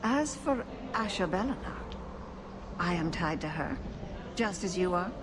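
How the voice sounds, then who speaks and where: Low-voiced, solemn elven wise woman, noisy backdrop. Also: lively intonation. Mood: serene, mystical. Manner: measured, solemn, mystical. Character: wise, cryptic older female elf clan keeper. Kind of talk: fantasy video game acting. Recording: noisy game capture.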